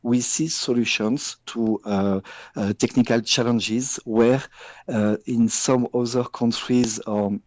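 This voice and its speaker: A man from France with a French accent, answering a radio business interview in measured English with frequent hesitations and restarts. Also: mid-range voice, fairly even intonation, a little mellow, dry recording. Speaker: business guest.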